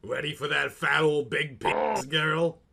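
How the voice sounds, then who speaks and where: A man's voice: on the high side, flat delivery, takes it slowly, vocal fry, sharp tone, pitched above the performer's own voice, heavily acted. A man voicing a crude, bossy, sleazy goblin-like boss in a comic audio story, with folksy, slangy, leering delivery.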